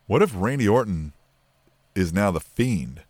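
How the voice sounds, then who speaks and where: A man's voice: lowish voice, sing-song, unhurried, a little mellow, slightly rough, dry recording. A man co-hosting a wrestling podcast, rambling and speculating with lots of fillers. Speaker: podcast co-host. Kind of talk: casual wrestling chat.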